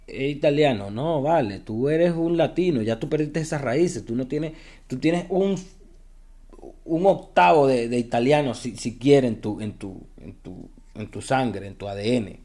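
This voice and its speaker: A man's voice: mid-range voice, dark tone. A young man with a Venezuelan accent, talking bluntly and casually in a podcast monologue, swearing freely as he gives his opinions. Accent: Venezuelan Spanish. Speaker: podcast host.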